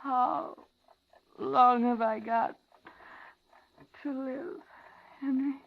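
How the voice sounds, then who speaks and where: An actress playing an ordinary woman held captive, frightened and pleading, in dramatic, deliberate 1950s radio thriller style. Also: high-pitched, fairly even intonation, creaky, full character voice. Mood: fearful, careful. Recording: muffled 1950s radio recording.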